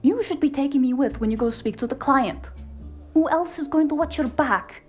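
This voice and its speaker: A woman playing a sharp, street-savvy, exasperated fixer in a cyberpunk noir audio drama, sardonic and emphatic. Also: sits high, normal speed, faint music behind, natural voice. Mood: exasperated, scolding.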